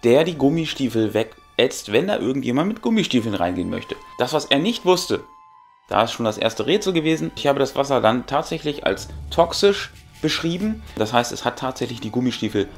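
A middle-aged man with a creaky, gravelly voice who is a little sick and tired. He talks as a game master recapping a session in a casual, colloquial way, pausing for effect. Music plays under his voice. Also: mid-range voice, sing-song. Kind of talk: casual recap of a tabletop role-playing game to camera. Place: small furnished room with brick wall.

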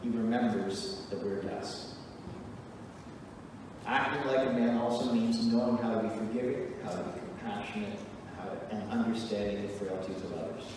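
A middle-aged man preaching a sermon from a church pulpit, earnest and emphatic with measured pauses. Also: on the low side, fairly even intonation, background noise. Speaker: pastor. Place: church sanctuary, at a wooden pulpit. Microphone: pulpit mic, recorded from a far camera. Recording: echoey church hall from far off.

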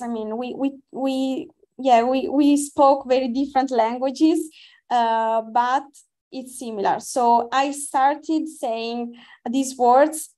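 A woman's voice: a little high, a little mellow. A young woman speaking as a guest on an online webinar, hesitant, with many restarts and fillers. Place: indoor room, video call.

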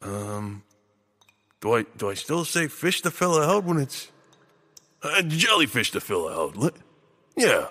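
Dim nervous henchman, sharp gravelly voice, hesitant folksy muddle, comic audio drama. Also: medium pitch, sing-song, measured pace, pauses often, full character voice. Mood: flustered, unsure. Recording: reverberant hall sound.

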